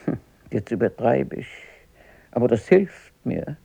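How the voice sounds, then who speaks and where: Husky old grandmother, swooping and feisty. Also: a bass voice, sing-song, measured pace, warm tone, no room sound, full character voice. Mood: musing, worried. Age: older. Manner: plain-spoken, grumbling, down-to-earth. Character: feisty, warm-hearted old working-class grandmother. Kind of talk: 1970s radio drama acting.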